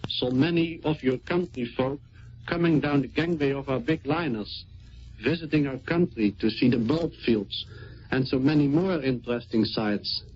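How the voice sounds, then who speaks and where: A man's voice: mid-range voice, normal speed, breathy edge. A Dutch man with a Dutch accent, a navy sailor reading a scripted wartime radio address in the 1940s. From Rotterdam, Netherlands. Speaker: sailor of the Royal Netherlands Navy. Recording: noisy muffled 1940s radio recording.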